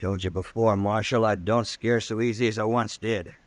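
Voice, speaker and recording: A man's voice: fairly low pitch, fairly even intonation, moderate pace, no echo, lightly acted. An actor playing a defiant, drawling Old West gunman in a 1950s radio western.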